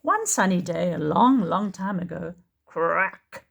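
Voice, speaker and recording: Woman reading a picture book slowly. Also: fairly low pitch, creaky. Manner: slow, expressive storytelling. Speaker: preschool teacher. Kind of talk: picture-book read-aloud.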